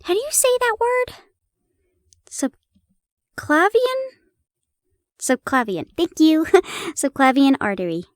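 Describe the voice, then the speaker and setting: A young woman in a playful roleplay, chatting warmly to the listener and reading an old story aloud, giggling and stumbling over old place names. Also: high voice, huge pitch swings, slow pace, long pauses, no echo, clean studio sound. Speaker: audio roleplay performer.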